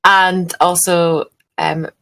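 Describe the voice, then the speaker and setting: A woman's voice: fairly low pitch, flat delivery, extremely slow, noisy background. A woman talking casually as a player in a tabletop role-playing game. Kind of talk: casual game chat, describing her character.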